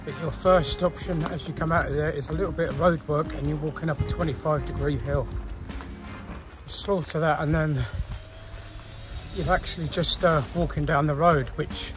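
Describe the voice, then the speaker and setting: A man's voice: a little high, fairly even intonation, moderate pace, music in the background. A man in his fifties, a hiker, chatting casually and wryly to his phone on a windy coastal footpath. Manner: chatty, rambling, wry asides. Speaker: hiker vlogger. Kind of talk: casual walking vlog narration. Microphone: phone at arm's length.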